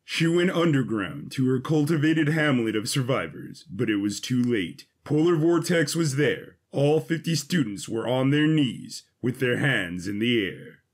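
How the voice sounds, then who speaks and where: A man with a husky voice giving a scripted, dramatic narration of an adventure story. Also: mid-range voice, expressive, unhurried, few pauses.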